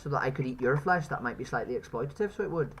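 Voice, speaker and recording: A woman's voice: rumbling low, expressive, very fast, a little hiss or hum. A woman streamer questioning strangers casually in a silly, put-on Irish accent full of repeated tag phrases. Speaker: streamer interviewing strangers on a live video chat.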